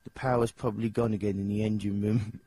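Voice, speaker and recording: An actor with an English accent voicing a nervous, dim, childlike young singer in a video game, hesitant and rambling in slangy speech. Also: male voice, on the low side, little pitch movement, a little fast, mellow, husky, dry recording. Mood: dismissive, chatty. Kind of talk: video game character dialogue.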